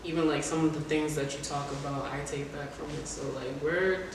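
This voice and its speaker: A man's voice: on the high side, fairly even intonation, a little fast. A man interviewing a guest in a casual, informal chat with plenty of slang. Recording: echoey room, distant mic, noisy background.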